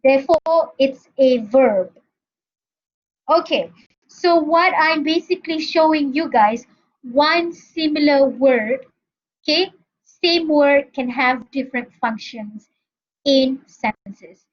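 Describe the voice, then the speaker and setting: A Malaysian woman teaching a grammar lesson in a high voice, taking her time over each point. Her recording is muffled and a little lo-fi. She keeps pausing to check that the class is following. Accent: Malaysian English. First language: not English. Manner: explains step by step, often checks with "Okay?". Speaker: university English lecturer.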